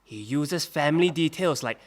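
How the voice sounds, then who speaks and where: An actor playing a male witness at a wartime trial, speaking in a halting testimony that climbs into agitated outbursts. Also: a little high, animated, close to an everyday voice. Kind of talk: audio drama acting.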